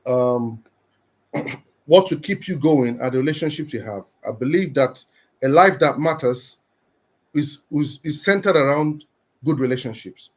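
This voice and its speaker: An earnest man from Nigeria hosts a motivational live stream. His voice sits at a middle pitch, and he encourages his viewers steadily. He often stumbles, repeats and restarts his words as he goes. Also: a little slow.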